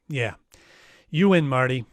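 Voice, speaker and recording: A man's voice: mid-range voice, lively intonation, slow, no room sound. A man playing an unflappable, wisecracking private detective who also narrates in a first-person noir radio style. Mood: wry, unflappable. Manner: dry, wisecracking.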